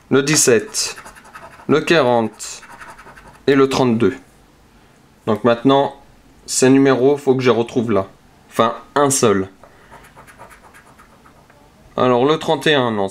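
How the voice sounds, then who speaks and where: A man speaks slowly and steadily, pitched in a middle register. He checks each number out loud in an unhurried, methodical way. Soft scratching sounds come from behind him. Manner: slow, methodical, talks through each number. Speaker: youtuber scratching lottery tickets. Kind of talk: casual narration while scratching cards.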